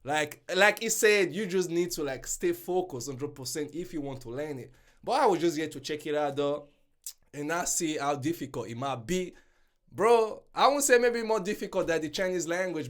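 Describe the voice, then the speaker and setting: A young man hosting a reaction video, talking in loose, slangy, animated bursts and laughing between lines. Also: fairly high pitch. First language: English. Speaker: reaction channel host. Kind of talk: casual reaction commentary.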